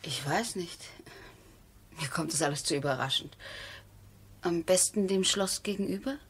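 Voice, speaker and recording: An actress playing a poised, sensible, gently wry lady of the manor in a radio drama. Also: on the low side, normal speed, breathy edge. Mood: wry, hesitant. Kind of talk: German radio drama acting.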